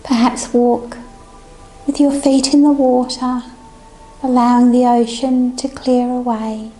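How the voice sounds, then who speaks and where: Female meditation guide, gentle and soothing, high and mellow, over music. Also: level tone, poor audio. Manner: gentle, soothing, unhurried instructions.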